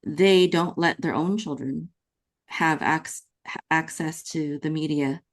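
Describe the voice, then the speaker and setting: Low creaky-voiced Californian woman. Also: slow. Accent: Californian American. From California, United States, born in the Philippines. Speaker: podcast host. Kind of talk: conversational interview.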